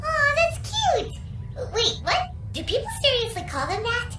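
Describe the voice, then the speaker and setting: A squeaky, extremely high little female fairy in a video game. She is cheeky, blunt and childlike, swinging through her pitch range. The audio is echoey, with music behind. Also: very animated, a little slow, distant mic, heavily acted. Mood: curious, amused. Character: tiny female floating fairy companion, cheeky, indignant, curious. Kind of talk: video game character acting.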